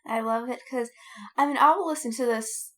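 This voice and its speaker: A woman's voice: a little high, normal speed, fairly bright tone. A woman in casual podcast chat as a co-host, informal and slangy, with an enthusiastic way of talking.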